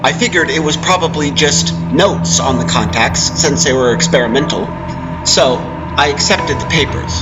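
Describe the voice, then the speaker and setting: A man's voice: fairly high pitch, low-quality recording. A man narrating a first-person horror story as a dramatic reading. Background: background music.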